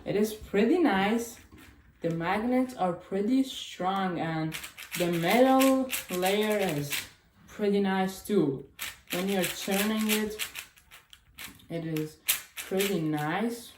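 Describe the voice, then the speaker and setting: A woman whose first language is Chinese, chatting casually and haltingly through a cube unboxing with small grammar slips. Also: medium pitch. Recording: echoey room with clicking noise.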